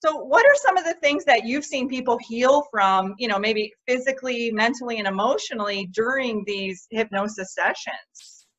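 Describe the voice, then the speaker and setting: A woman's voice: a little high, lively intonation, moderate pace, fairly bright tone, slight background noise. A woman hosting an interview, chatty as she shares her own experience before asking a question. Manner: chatty, recounts personal experience. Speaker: podcast host. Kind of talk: conversational interview. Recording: dull lo-fi recording.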